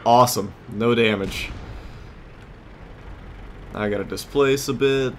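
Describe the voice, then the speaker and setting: A man's voice: medium pitch, slow, slight background noise. A man giving casual gameplay commentary as a let's play host, thinking aloud in a conversational way.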